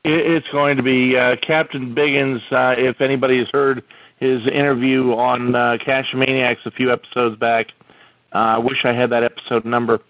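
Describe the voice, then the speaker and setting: A man co-hosting a podcast by phone, easygoing and pausing often with "uh". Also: mid-range voice, little pitch movement, no room sound, phone-quality sound. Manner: easygoing, many "uh" pauses. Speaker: podcast co-host. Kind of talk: casual podcast chat.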